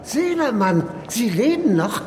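An actor playing an excitable, hard-of-hearing old man who talks too loudly, in a radio drama. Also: extremely high pitch, measured pace, slight background noise, full character voice. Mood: joyful, astonished. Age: older. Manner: exclaiming, too loud for the setting. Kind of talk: German radio play acting.

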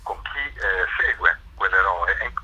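A man's voice: low-pitched, expressive, measured pace, pushed and bright, breathy edge. A man organizing cultural events, explaining his project by phone and stumbling over repeated words. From Italy. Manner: hesitant, many repeated words and fillers. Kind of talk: phone interview. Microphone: telephone. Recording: lo-fi phone line.

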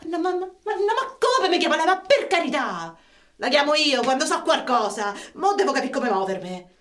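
A woman's voice: sits high, big pitch swings, normal speed, a bit bright. An actress playing a blunt, scheming working woman with a Roman accent in a radio play. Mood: wary, conspiratorial.

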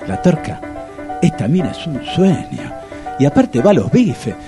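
A man's voice: a little high, huge pitch swings, a little slow, music in the background, heavily acted. An actor with a Rioplatense Argentine accent playing a naive, nervous, wary man in a comic radio play, stammering and blurting out awkward remarks. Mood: earnest, blurting.

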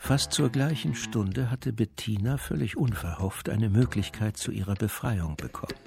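An actor narrating a suspenseful radio crime story in a measured, serious way. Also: male voice, lowish voice, creaky, over music. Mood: grave, suspenseful. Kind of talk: scripted crime drama narration.

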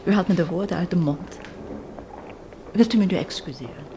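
An actress playing a cool, guarded estranged wife in a Dutch radio play, polite but reserved. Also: female voice, fairly low pitch, fairly quick, noisy background, lightly acted.

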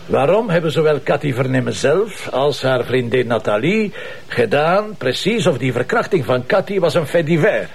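Brusque Flemish police commissioner. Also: male voice, mid-range voice, expressive, creaky, slightly rough. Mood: businesslike. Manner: brisk, bossy, curt. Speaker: actor. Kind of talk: TV crime drama dialogue.

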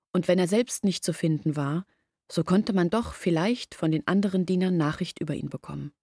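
Olga: young village woman, low and earnest, dead-dry close mic. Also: dark tone, breathy edge, dry recording. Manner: earnest confessional telling. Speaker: lead character in radio play. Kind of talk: radio drama acting.

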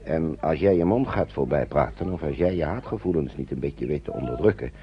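A man's voice: rumbling low, expressive, brisk. An actor playing a kindly older uncle in a radio drama, speaking in an avuncular, hesitant way and repeating his words. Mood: earnest, cautioning. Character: kindly, fatherly older uncle guiding refugee children to England. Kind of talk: Dutch radio drama acting. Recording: dull, lo-fi old radio recording.

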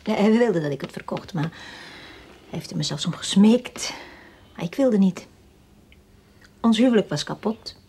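An actress playing a frightened, guilt-ridden widow, earnest and breathy, in a radio drama. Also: female voice, mid-range voice, lively intonation, moderate pace, long pauses, warm tone. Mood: troubled confession. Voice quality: breathy, husky. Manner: earnest, anxious, insistent.

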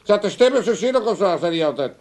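A man's voice: sits high, expressive, rapid-fire, a little mellow. An actor playing a cantankerous, bossy old Amsterdam father in a comedy radio play, grumbling and impatient. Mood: gruff, dismissive. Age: older. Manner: grumbling, impatient, working-class wisecracking. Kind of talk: comedic radio drama acting.

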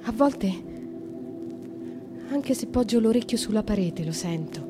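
An actress playing a brooding young noblewoman imprisoned in a dark cell, speaking in a lyrical, slow, reflective way in a radio drama monologue. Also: medium pitch, animated, music in the background, natural voice. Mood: dreamy, wistful.

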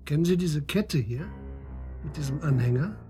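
An actor playing a persistent police detective questioning a suspect in a radio drama, matter-of-fact and probing. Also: male voice, a little high, lively intonation, moderate pace, mellow, soft background music, close to an everyday voice. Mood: insistent, matter-of-fact. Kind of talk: naturalistic German radio drama acting.

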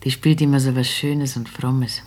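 An actress playing an anxious, humble old mother in a German radio drama, homely and hesitant, with a raspy voice. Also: very deep voice, fairly even intonation, normal speed, a little mellow. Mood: wistful, frail. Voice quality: raspy, creaky.

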